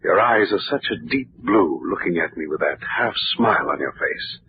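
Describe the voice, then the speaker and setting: An actor playing an intense, adoring painter husband, tender and rhapsodic, in 1940s radio drama. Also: male voice, low-pitched, lively intonation, normal speed. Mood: adoring, intent. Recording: tinny, faintly noisy old radio recording.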